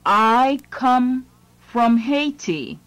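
A woman's voice: fairly high pitch, lively intonation, a bit bright, clear, resonant tone. A woman reading English lines in a scripted language lesson, phrase by phrase. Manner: slow, deliberate, phrase by phrase.

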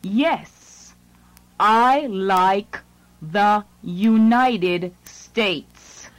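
Very slow, deliberate woman reading a lesson. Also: mid-range voice, no echo, low-quality recording. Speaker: language lesson narrator.

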